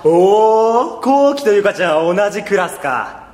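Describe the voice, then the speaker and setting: A man's voice: high voice, expressive, moderate pace, darkish tone. A man playing a cheeky, meddling teenage boy, blunt and slangy, in an amateur radio drama. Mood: teasing. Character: cheeky, meddling teenage male school friend. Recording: echoey, long reverb.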